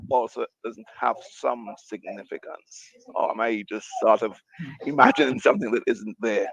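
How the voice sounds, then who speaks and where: A man's voice: lowish voice, fairly even intonation, moderate pace, creaky, fairly bright tone. A man on a book club panel, musing tentatively with hesitant fillers. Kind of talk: casual panel discussion. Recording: dull, dry lo-fi sound.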